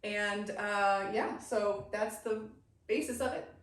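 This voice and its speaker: A middle-aged American woman, an animated life coach telling stories casually as an interview guest. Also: medium pitch, takes it slowly. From grew up in the United States. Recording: very echoey room, distant mic, faint noise.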